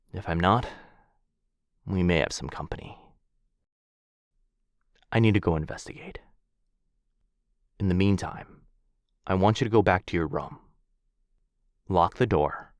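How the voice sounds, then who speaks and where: Casting: male actor as a gentle vampire lord, low-pitched, dry close whispering. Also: dry recording. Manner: soft-spoken, close whispering, tender. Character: protective young vampire lord, gentle but fierce. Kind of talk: ASMR boyfriend roleplay.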